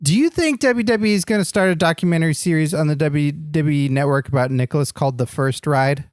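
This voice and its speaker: A man's voice: on the high side, fast, no echo, studio quality, natural voice. A man playing a naive, earnest wrestler sidekick on a comedy podcast, making absurd points with a straight face. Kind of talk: improvised comedy podcast chat.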